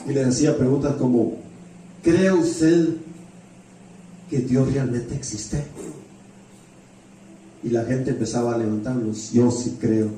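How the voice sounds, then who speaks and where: A man's voice: mid-range voice, mellow. A man preaching a sermon through stories, repeating phrases for emphasis and often putting rhetorical questions to the congregation. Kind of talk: sermon with storytelling. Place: church hall with heavy echo. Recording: very echoey hall, distant mic, faint noise.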